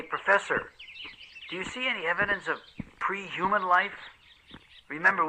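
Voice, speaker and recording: An actor playing an excitable expedition partner calling in over a two-way radio, urgent and stammering, in a radio drama. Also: male voice, sits high, background noise. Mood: eager, urgent.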